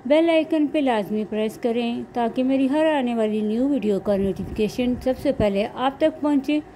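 Slow-paced woman, noisy recording. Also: a little high, mellow. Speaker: cooking vlog host. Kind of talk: recipe voice-over narration. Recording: clear background noise, homemade recording.

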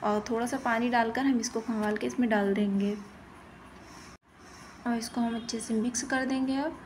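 Even, high-voiced woman narrating a recipe. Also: normal speed, a little hiss or hum. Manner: instructional, plain-spoken. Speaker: cooking channel host. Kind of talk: step-by-step recipe voice-over narration.

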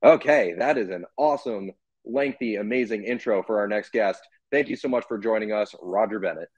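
A man's voice: lowish voice, a little fast, a little mellow, slightly rough, no echo. A young man interviewing a guest over a video call, in a casual way with many fillers. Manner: casual, filler-heavy questioning. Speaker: interviewer, writer. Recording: dull, lo-fi video call audio.

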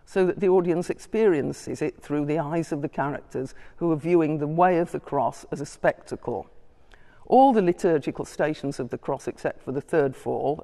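An older woman giving a lecture read from notes in a large church, measured and wry, recorded from a far camera. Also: low-pitched, dark tone. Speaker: guest lecturer. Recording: long church reverb, distant.

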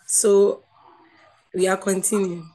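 A woman with a Ghanaian accent giving an online lecture over slides, explaining slowly with many restarts and filler sounds. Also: medium pitch, level tone, warm tone, low-quality recording.

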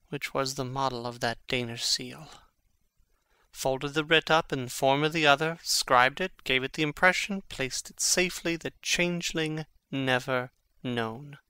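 An actor playing an intense, brooding young prince in a classical Shakespearean dramatic reading, with theatrical, emphatic phrasing. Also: male voice, medium pitch, bright, forceful tone, clear, resonant tone, no room sound. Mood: wry, resolute.

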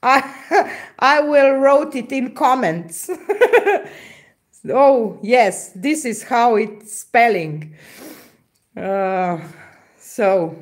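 A woman in her forties from Slovenia with a Slovenian accent, chatting casually on a live stream, halting and searching for words with many fillers. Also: fairly high pitch, expressive. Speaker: acrylic pouring artist hosting a live stream. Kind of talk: casual live-stream chat reading viewer comments. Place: indoor craft table, camera overhead.

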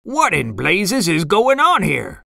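A woman voicing a blustery, money-loving old male crab restaurant boss in a children's storybook, gruff and piratical. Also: low voice, very animated, unhurried, bright, forceful tone, no room sound, clean studio sound, voice pushed up, exaggerated voice. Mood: indignant.

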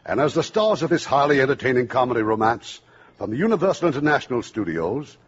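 An American man hosts in scripted 1950s radio style. His husky voice moves up and down a lot, and the old recording is dull. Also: medium pitch, big pitch swings, fast talker. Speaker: radio show host.

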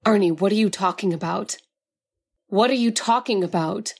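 A woman's voice: medium pitch, normal speed, darkish tone, slightly rough. A woman playing a nervous wife led underground by her husband in a radio drama, stammering and pleading. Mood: teasing.

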